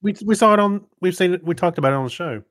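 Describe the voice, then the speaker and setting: A man co-hosting a podcast in casual chat, talking in an unhurried way. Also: high-pitched, fairly bright tone, no room sound, clean studio sound. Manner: unhurried talker. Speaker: podcast co-host.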